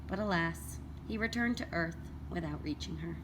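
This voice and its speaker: A woman reading a children's picture book aloud as a teacher would, in an expressive, deliberate storytelling manner. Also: on the low side. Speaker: elementary school read-aloud teacher. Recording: clearly noisy background.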